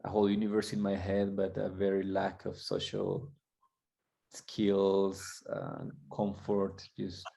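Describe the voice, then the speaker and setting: A man from Colombia, a guest coach, telling his story candidly and reflectively, halting and restarting as he goes. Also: deep voice, gravelly, mellow.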